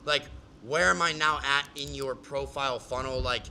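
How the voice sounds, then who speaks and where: A man's voice: mid-range voice, moderate pace, sharp tone, slight background noise. A man coaching a client in a casual, emphatic way, full of "like" and restarts. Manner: casual, filler-heavy, emphatic explaining. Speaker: business coach. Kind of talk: live coaching session.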